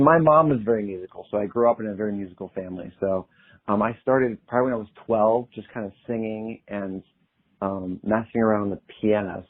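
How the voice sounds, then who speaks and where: Young male singer-songwriter guest, relaxed and chatty, laughs easily; narrow phone-like sound. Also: fairly low pitch, breathy edge. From Pennsylvania. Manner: easygoing, chatty, laughs often. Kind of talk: casual interview chat. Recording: tinny phone-like line.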